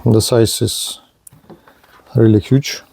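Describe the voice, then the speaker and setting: Slow, gravelly man demonstrating gear. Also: mid-range voice, fairly even intonation, mellow. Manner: halting, short phrases; non-native English phrasing. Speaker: radio reviewer. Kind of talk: hands-on product demonstration.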